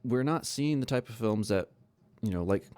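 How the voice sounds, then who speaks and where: A man's voice: mid-range voice, expressive, normal speed, darkish tone, dry recording. A man hosting a podcast, giving his opinions in informal, conversational talk and thinking aloud as he goes. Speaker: podcast host.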